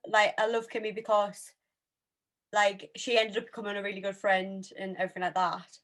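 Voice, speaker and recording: A woman's voice: mid-range voice, level tone, fairly quick, a bit bright. A woman of about twenty chatting casually as a co-host, often telling stories in a giggly way.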